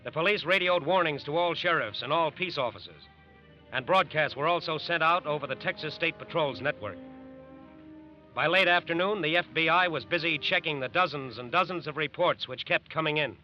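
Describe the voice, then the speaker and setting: A man with an American accent narrates like an old-time radio crime show. His fairly high voice is declamatory and serious. The vintage broadcast sound is dull and narrow. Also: brisk, soft background music. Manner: grave, emphatic, declamatory. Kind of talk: 1940s radio docudrama narration.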